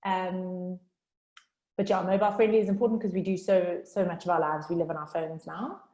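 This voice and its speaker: South african woman rattling off workshop tips, casual and quick, echoey room. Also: on the low side, fairly even intonation, dark tone. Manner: fast, chatty, informal. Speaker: workshop speaker. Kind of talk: online workshop talk. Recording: roomy echo.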